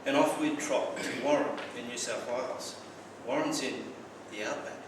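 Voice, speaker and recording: A man's voice: mid-range voice, normal speed. A man from Australia with an Australian accent gives a prepared personal speech, telling stories with wry humour and some stumbling repeats. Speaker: club speaker. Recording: very echoey room, distant mic, clear background noise.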